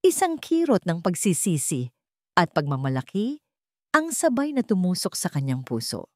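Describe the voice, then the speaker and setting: A woman's voice: medium pitch, no echo, studio quality. A woman narrating a scripted story in a solemn, suspenseful, dramatic way.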